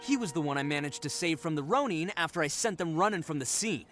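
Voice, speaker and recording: A boisterous oni brawler voiced by an actor, with a fairly high, bouncy voice that swings widely in pitch. He swaggers and laughs, yet he sounds earnest underneath, and faint game music plays behind him. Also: animated, quick pace, lightly acted. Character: brash oni man, boisterous big-hearted brawler. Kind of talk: video game voice acting.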